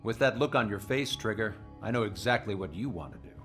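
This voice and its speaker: A man's voice: lowish voice, expressive, a little fast, a bit bright, soft background music. A man playing a decisive fighter pilot squadron leader in a video game cutscene. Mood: decisive, commanding. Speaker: squadron leader giving a briefing.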